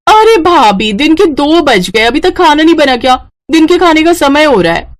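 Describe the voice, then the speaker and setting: A woman's voice: sits high, big pitch swings, moderate pace, pitched above the performer's own voice. A woman voicing a demanding, whiny young married sister-in-law in a moral story. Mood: bossy. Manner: whiny, pushy.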